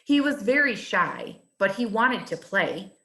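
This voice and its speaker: A woman reading a rhyming children's storybook aloud, in a measured and expressive teacher's manner. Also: medium pitch.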